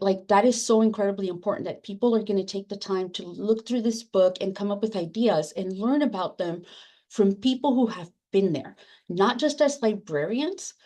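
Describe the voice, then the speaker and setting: A woman's voice: medium pitch, warm tone. Earnest woman with many fillers. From immigrant, first generation. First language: Spanish. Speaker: book editor on a panel. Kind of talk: panel discussion answer.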